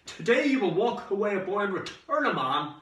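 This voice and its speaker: A man's voice: mid-range voice, wildly swooping pitch, moderate pace, sharp tone. Man putting on a pompous, declamatory father, echoey. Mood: proud, booming. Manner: grand, declamatory. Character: pompous, booming father lecturing his son. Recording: roomy echo, distant microphone.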